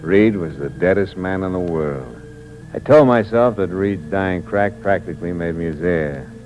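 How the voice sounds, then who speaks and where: A man's voice: deep voice, normal speed, highs cut off, lightly acted. An actor playing a hard-boiled drifter who tells his own story in terse, wry narration. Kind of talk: 1950s radio drama acting. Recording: noisy old radio recording.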